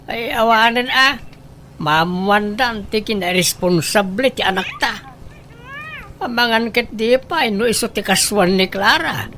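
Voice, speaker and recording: A woman's voice: on the high side, animated, measured pace, sharp tone, a little hiss or hum. Sensible, chiding old grandfather, played by a woman. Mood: reasoning. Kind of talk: comedy radio drama acting.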